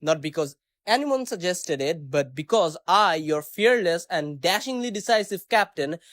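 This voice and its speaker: A man's voice: a little high, lively intonation, normal speed, fairly bright tone, no room sound. A man playing a boastful, bumbling space captain in a comedy radio drama, talking in a pompous, self-important way.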